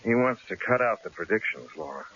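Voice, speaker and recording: An actor playing a stubborn, self-assured newspaper columnist in an old-time radio drama, wry and punchy. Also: male voice, on the low side, lively intonation, brisk, fairly bright tone. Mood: breezy, unflappable. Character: cocky, stubborn male newspaper columnist, breezy and unflappable. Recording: hissy, dull old radio broadcast recording.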